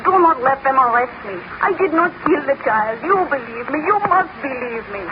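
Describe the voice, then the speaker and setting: A woman's voice: high voice, lively intonation, moderate pace. An actress playing a proud, desperate servant girl protesting her innocence, in declamatory 1930s radio drama style. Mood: pleading. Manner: emphatic, declamatory pleading. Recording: noisy, dull 1930s radio recording.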